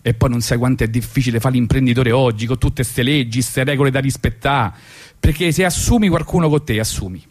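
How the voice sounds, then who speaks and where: A man's voice: medium pitch, fairly quick, lightly acted. A man voicing a smug, falsely regretful boss in a satirical monologue, with a hypocritically polite, self-justifying manner. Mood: aggrieved.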